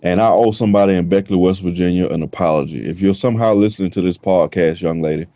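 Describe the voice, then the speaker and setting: A man in his thirties from the US South trades rough, laughing stories on a podcast. His voice sits low and mellow. The recording is dry and a little dull. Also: fast talker, no echo. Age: 30s. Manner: loose, profane storytelling with frequent laughs. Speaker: podcast co-host. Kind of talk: casual chat.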